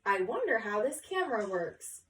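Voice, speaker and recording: A woman's voice: medium pitch, big pitch swings, moderate pace, fairly bright tone. A woman voicing a curious little schoolgirl in a children's story, muttering and musing to herself. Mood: pondering. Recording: roomy echo, distant mic.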